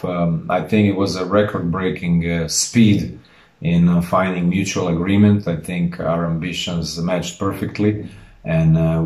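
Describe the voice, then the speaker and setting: Man, late 30s, low voice, formal head coach addressing fans in a roomy, echoing space. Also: a little mellow. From Croatia. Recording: roomy echo.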